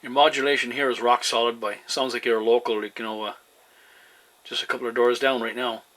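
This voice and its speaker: A man's voice: mid-range voice, little pitch movement, a little fast. A man chatting casually as a CB radio operator, with a folksy, hesitant way of talking. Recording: clearly noisy radio static and hiss. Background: radio noise.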